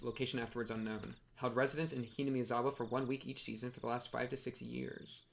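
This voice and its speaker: A man's voice: medium pitch, vocal fry. A young man giving casual, slangy playthrough commentary on a visual novel and reading text aloud. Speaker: streamer playing a visual novel.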